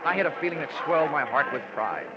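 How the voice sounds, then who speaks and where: Guy doing wisecracking old radio detective, deadpan, lightish higher voice, very noisy muffled tape. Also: fast talker, natural voice. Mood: dramatic narration. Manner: wry, deadpan quips. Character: wisecracking hard-boiled male insurance investigator. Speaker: lead actor and narrator. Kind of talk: 1940s radio detective acting.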